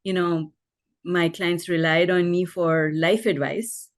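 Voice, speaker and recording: A woman's voice: fairly low pitch, flat delivery, slow. Middle-aged woman telling her story, laughing easily. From Pakistan. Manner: warm storytelling, laughs often. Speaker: guest, life coach and author. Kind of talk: conversational podcast interview.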